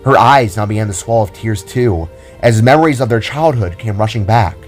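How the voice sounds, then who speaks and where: A man's voice: lowish voice, normal speed, faint music behind. A man reading scripted, dramatic story narration as the narrator of an audio drama.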